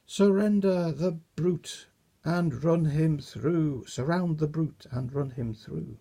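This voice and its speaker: Storybook mob of greedy cooks, excited and eager, husky and dark, voiced by the reader. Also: medium pitch, slow pace, heavily acted.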